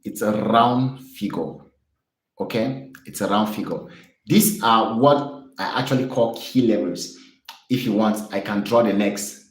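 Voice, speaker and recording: A man's voice: fairly low pitch, echoey room, poor audio. A young man from Cameroon streaming live, chatting with viewers and explaining charts in an upbeat, repetitive way. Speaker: trading streamer.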